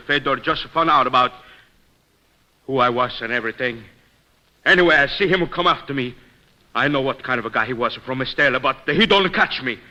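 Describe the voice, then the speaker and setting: An actor playing a shifty, defensive lowlife suspect in an old-time radio drama, hesitant and speaking with rough street grammar. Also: male voice, bright, forceful tone. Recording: dull lo-fi old radio recording.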